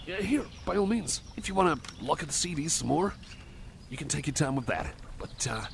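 A man's voice: medium pitch, gravelly, noisy background. A man playing a shy, awkward, eager-to-please cyborg buddy in an ASMR roleplay, rambling to the listener in a hesitant, stammering, apologetic way. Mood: nervously pleased.

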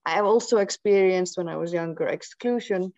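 A woman's voice: fairly low pitch, fairly quick, a little mellow, no echo. A woman from Iceland, whose first language is Icelandic, giving a webinar talk in a thoughtful way with many hesitations, heard over a headset on a video call. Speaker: guest speaker. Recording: dry lo-fi video call audio.

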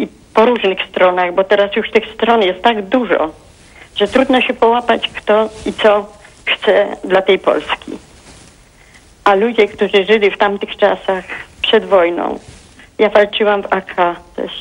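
A woman in her eighties from Poland calls in to a TV talk show, speaking in a heartfelt, halting way and often searching for words. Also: mid-range voice. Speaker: caller. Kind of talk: phone-in call to a TV talk show. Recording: dull phone line.

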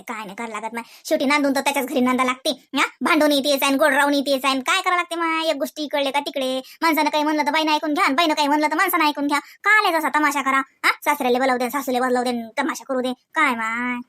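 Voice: high-pitched, steady tone, fast talker, hardly any pauses, sharp tone. A performer playing a worldly older village woman, who lectures and keeps checking "right?" after each point. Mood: advising.

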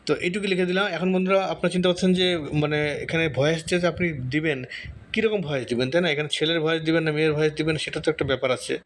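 Fast-talking man giving a tutorial, higher-pitched voice. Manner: conversational, repeats himself and checks in with listeners. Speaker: tutorial host. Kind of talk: casual step-by-step software tutorial. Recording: noticeable background noise.

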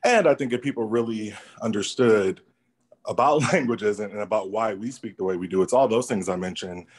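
Guy with a low voice on a video call, chatting thoughtfully, keeps saying right?. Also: fairly quick, husky. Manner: thoughtful, conversational, frequent "right?". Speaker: workshop participant. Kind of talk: casual discussion on a video call. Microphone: laptop or phone on a video call.

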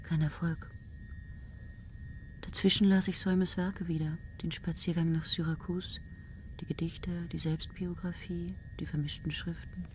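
A woman's voice: on the low side, little pitch movement, a little slow, darkish tone, a little hiss or hum. A woman narrating a 1970s radio play in a dry, musing inner monologue. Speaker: first-person narrator.

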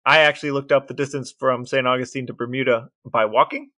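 Bright-voiced man telling a wry story. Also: medium pitch, steady tone, fast talker. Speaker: podcast co-host. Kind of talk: casual chat.

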